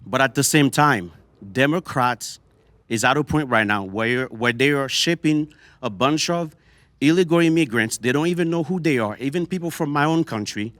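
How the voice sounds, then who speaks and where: A man with a Haitian accent argues his point with force, often stumbling over and repeating words as he pushes on. He speaks at a middling pitch in a dry, close-sounding room. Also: normal speed, fairly bright tone, no room sound. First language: Haitian Creole. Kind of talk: heated debate.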